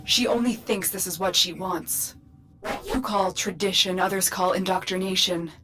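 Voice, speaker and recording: An actress playing a composed, motherly commander in a video game, firmly protective, measured and insistent. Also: medium pitch, fairly even intonation, close to an everyday voice. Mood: concerned, firm. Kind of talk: dramatic video game dialogue.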